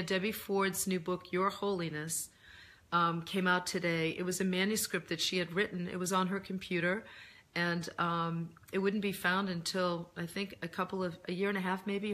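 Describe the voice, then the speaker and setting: A middle-aged woman with a hoarse, deeper-than-usual voice from laryngitis, hosting a casual live chat and answering readers' comments in a gentle, reflective way with many pauses and ums. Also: slight background noise.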